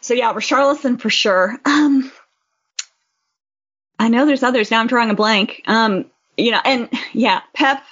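A woman's voice: fairly high pitch, a little slow, a bit bright, dull audio. A woman co-hosting a casual football podcast, talking in an informal, blunt way. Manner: informal, blunt, frequent "you know". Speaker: podcast co-host.

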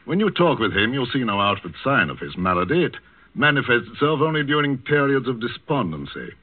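A man's voice: mid-range voice, sing-song, brisk, no echo, narrow, tinny audio. A man acting an urbane, unflappable psychiatrist with a sardonic, slyly defiant manner in a 1940s radio drama. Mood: wry, composed. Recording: thin, band-limited old radio recording.

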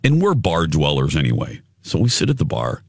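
A male radio host telling a story in casual chat, with a loud, big voice and a habit of cussing. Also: a bass voice, wildly swooping pitch, brisk, gravelly, slightly rough, no echo, clean studio sound. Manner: swears casually.

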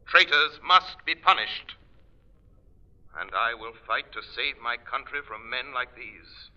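An actor playing a stern, mysterious crime fighter, commanding and solemn in old radio drama style. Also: male voice, mid-range voice. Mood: solemn, defiant. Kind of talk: 1930s-40s radio drama acting. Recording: muffled vintage radio recording.

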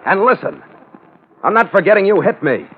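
An actor playing a tough, gruff sea captain in a 1940s radio adventure, clipped and commanding. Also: male voice, sits high, very animated, moderate pace, full character voice. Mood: scolding, irritated. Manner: hard-boiled, clipped, commanding. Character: tough, gruff ship's skipper, hard-boiled sea captain. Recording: old tinny radio recording with loud music.

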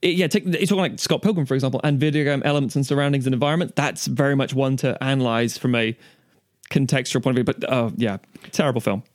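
A man rattles through casual podcast chat at a quick pace. His manner is blunt and informal, with a medium pitch and a dry sound. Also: no echo. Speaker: podcast panellist.

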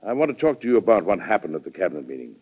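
A man's voice: fairly low pitch, big pitch swings, rapid-fire. An actor playing a weary but firm, fatherly American president in an old-time radio drama, speaking earnestly with authority and occasional hesitation. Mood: measured, conciliatory. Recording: muffled vintage radio drama recording.

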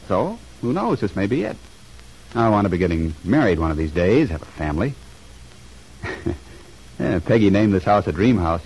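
A man's voice: low voice, a little mellow, slightly rough. A man playing an eager, modest young dreamer in an old-time radio soap, bashful and hesitant, on a faintly noisy old recording.

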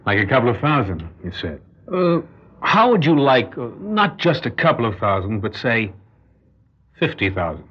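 An actor in an old radio drama playing a secretive schemer who speaks tersely and gives brisk orders. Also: male voice, medium pitch, big pitch swings, slightly rough. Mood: sly, tempting. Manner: terse, commanding. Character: brisk, secretive, scheming man called Doc. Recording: muffled old radio recording.

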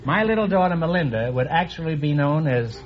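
A man hosting a quiz show, teasing his guests with quick jokes and puns. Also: fairly high pitch, lively intonation. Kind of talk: wisecracking quiz show banter. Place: radio studio with live audience. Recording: dull old radio broadcast with hiss. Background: audience laughter.

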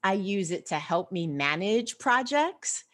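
An American woman speaking as a guest in a casual interview, with a voice of medium pitch. She is animated and lays out her thinking step by step, often posing questions and answering them herself. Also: unhurried. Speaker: interview guest, personal finance expert.

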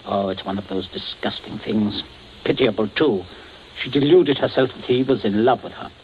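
An actor playing a cold, calculating gentleman with measured, formal speech in a 1940s radio suspense drama, on a muffled, noisy recording. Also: fairly low pitch, lightly acted. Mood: cold, grave. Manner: measured, deliberate, formal phrasing. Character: cold, commanding, calculating gentleman blackmailing with menace.

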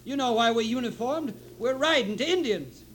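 An actor playing a wary, defiant country soldier in a 1950s radio western, folksy and stammering under pressure. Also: male voice, very high-pitched, animated, moderate pace. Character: plain-spoken male rural soldier, wary but defiant. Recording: slightly noisy old radio recording.

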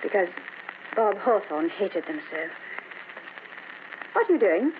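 A woman's voice: mid-range voice, very animated, slow, noisy background. An actress playing a mysterious woman who speaks cryptically and forebodingly in an old time radio horror drama, on a tinny vintage recording. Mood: cool, probing. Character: mysterious, ominous woman acquaintance hinting at deadly secrets.

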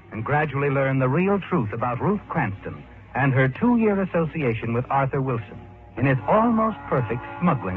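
Ominous mystery narrator, vintage radio, measured delivery, swooping intonation, music underneath. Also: male voice, fairly high pitch, narrow, tinny audio. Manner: measured, dramatic. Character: dramatic, ominous male narrator of a suspense story. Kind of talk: 1940s-50s radio suspense narration, second person.

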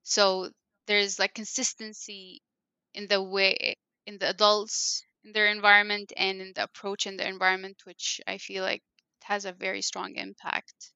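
A woman speaks with a bright, clear and crisp tone. She rambles casually through her answers, restarting sentences and leaning on fillers. The audio is a little muffled and lo-fi, with a dry, close sound. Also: medium pitch, monotone, normal speed, no echo. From Egypt. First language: Egyptian Arabic. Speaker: guest, parenting consultant. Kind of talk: casual interview conversation.

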